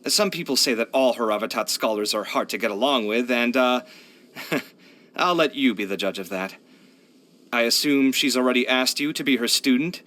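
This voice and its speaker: A man's voice: mid-range voice, moderate pace, close to an everyday voice. A man voicing a proud, earnest young architect for a video game, chatty and candid in his delivery. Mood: thoughtful, admiring. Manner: chatty, candid, expressive, sighing. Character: young male architect, proud, earnest, expressive, hard-luck. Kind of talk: video game character voice lines.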